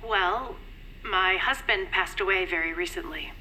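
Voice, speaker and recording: A woman's voice: on the low side, bright, forceful tone, slight background noise, low-quality recording. A woman playing an anxious, grieving middle-aged mother in an audio drama, polite and hesitant. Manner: hesitant, careful, halting. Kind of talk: naturalistic audio drama acting.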